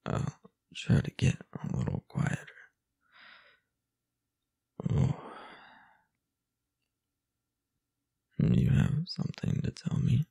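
A young man playing a drunk, affectionate roommate in an intimate roleplay audio, slurring drowsily in a husky, halting voice. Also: dark tone, exaggerated voice. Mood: confused, surprised. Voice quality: husky, breathy, gravelly low voice. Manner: slow, halting, slurred drowsy tipsy delivery. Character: drunk, affectionate young male roommate confessing his crush.

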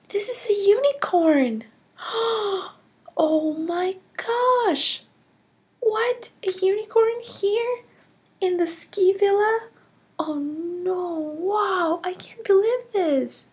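A woman's voice: extremely high pitch, darkish tone, exaggerated voice. Hannah, woman doing a gasping curious girl. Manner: gasping, whispering wonder. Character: curious cheerful girl on a ski holiday. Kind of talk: toy play storytelling.

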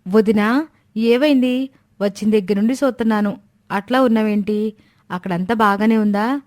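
A woman's voice: medium pitch, normal speed, a little mellow, natural voice. A woman voicing a dutiful, outspoken young daughter-in-law in a family story, earnest and insistent. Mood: reassuring, concerned.

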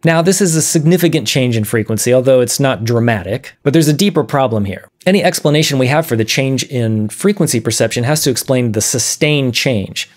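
A man in his thirties presenting an explanatory video lesson in a measured, methodical way. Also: mid-range voice. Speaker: presenter of an audio science series. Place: small dim room, indoors.

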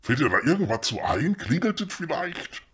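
A man playing a gruff, sarcastic, impatient fellow in a comedy audio drama, husky. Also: on the high side, very animated, moderate pace, heavily acted. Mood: dry, probing. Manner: colloquial, dry, sarcastic, blunt.

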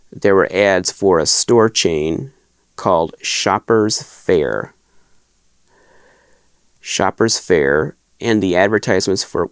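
A man in his thirties with a very low voice and a gravelly edge speaks slowly and thoughtfully to camera. His pitch moves a lot as he explains, with plenty of restarts. The sound is close and dry, from a small wood-paneled room. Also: dry recording. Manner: thoughtful, measured, frequent restarts and uh fillers. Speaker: collectibles show host. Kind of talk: casual explanatory talk to camera. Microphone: fixed camera at desk, framed from chest up.

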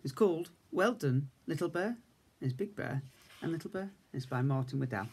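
Male infant teacher, fifties, English accent, reading to small children with lively, varied intonation. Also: fairly high pitch, normal speed, slightly rough. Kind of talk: children's story read-aloud. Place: indoors, room at home.

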